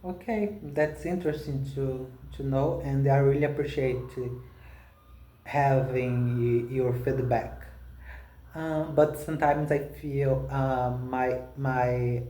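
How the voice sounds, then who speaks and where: A man with a Brazilian accent, a native Portuguese speaker practicing spoken English, talking haltingly with many restarts and fillers. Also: mid-range voice, fairly even intonation, mellow, reverberant. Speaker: language learner.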